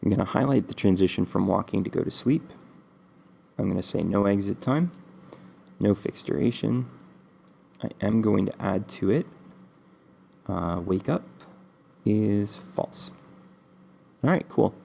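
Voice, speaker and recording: A man hosting a screen-recorded game coding tutorial, stuffy from a cold, talking in a relaxed, rambling way with many ums. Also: lowish voice, vocal fry, a little hiss or hum. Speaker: game development tutorial host.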